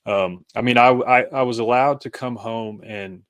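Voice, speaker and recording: A man speaking as an interview guest, reflective and conversational, thinking aloud in halting, thoughtful phrases. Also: mid-range voice, a bit bright, dry recording.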